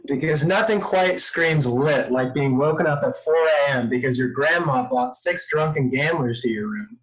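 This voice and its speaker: A man's voice: medium pitch, normal speed, close to an everyday voice, low-quality recording. A man playing a responsible, exasperated brother in his twenties, sarcastic and scolding, in a screenplay table read. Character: reserved, exasperated responsible 26-year-old brother.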